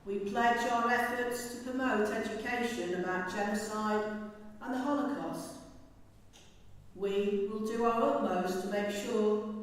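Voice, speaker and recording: A woman giving a formal public address at a memorial service, as a civic dignitary. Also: fairly high pitch. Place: inside a large church. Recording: very echoey church hall, distant mic.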